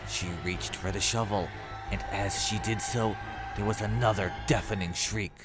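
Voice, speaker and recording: A man's voice: lowish voice, steady tone, normal speed. A man narrating a scripted horror story as its storyteller. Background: music.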